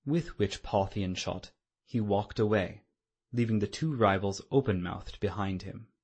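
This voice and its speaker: A man's voice: lowish voice, a little slow, darkish tone, breathy edge. A man reading scripted literary narration as the narrator of an audiobook.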